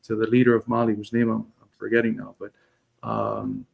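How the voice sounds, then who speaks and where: A Cuban man with a Cuban accent, a guest on the show, telling a story in a halting way with many restarts. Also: on the low side, completely flat delivery, gravelly, breathy edge, phone-quality sound. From Cuba. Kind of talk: casual chat.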